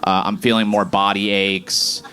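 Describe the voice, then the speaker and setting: A man of thirty doing a stand-up comedy set on a club stage, animated and jumping to high shouts on punchlines. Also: measured pace, clean tone. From Boston area.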